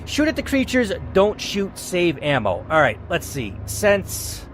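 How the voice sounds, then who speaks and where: A man's voice: high-pitched, huge pitch swings, a little slow. A man hosting a game playthrough, moving between dramatic read-aloud storytelling and casual commentary. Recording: clear background noise.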